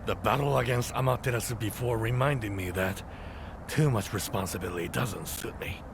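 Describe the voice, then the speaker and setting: An actor playing a gruff, teasing, laid-back warrior comrade in a video game, with a gravelly voice and a familiar manner. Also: male voice, normal speed, background noise. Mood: easygoing, wry. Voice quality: low, gravelly. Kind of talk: video game cutscene acting.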